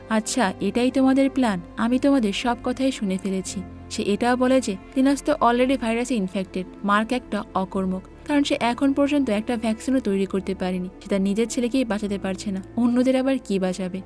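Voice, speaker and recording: High-pitched woman narrating a story recap. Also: darkish tone. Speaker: narrator of a screen drama recap. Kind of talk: scripted story recap narration, reading characters' lines in reported speech.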